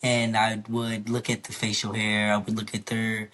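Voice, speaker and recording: A young man talking candidly in a casual podcast chat, open and earnest, his voice a little hoarse. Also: on the low side, monotone, moderate pace, a bit bright, slight background noise. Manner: earnest, open, rambling with fillers. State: slightly hoarse. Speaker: podcast guest.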